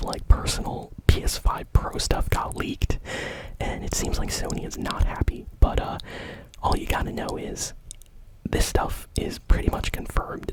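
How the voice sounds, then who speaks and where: An ASMR host whispering gaming news in a rambling, informal way. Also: poor audio.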